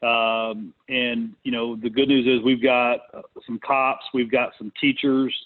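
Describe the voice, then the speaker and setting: A man talks through a thin, phone-like connection as host of a business call. His delivery is casual and unscripted. He pauses to think as he goes. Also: medium pitch, flat delivery. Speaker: conference call host, real estate investor. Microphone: conference call connection. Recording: muffled, narrow phone-line sound.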